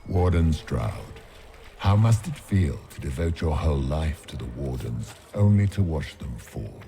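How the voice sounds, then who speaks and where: A man voicing a huge, ancient nightmare demon in a video game, gloating and mocking with slow menace. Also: extremely low pitch, lively intonation, warm tone, a little hiss or hum, exaggerated voice. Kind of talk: theatrical video game villain acting.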